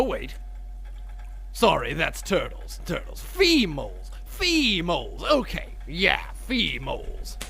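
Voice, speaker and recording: A man's voice: fairly high pitch, vocal fry, a bit bright, heavily acted. An actor playing a crotchety, bossy old male mole who blusters and mutters in a comic audio drama.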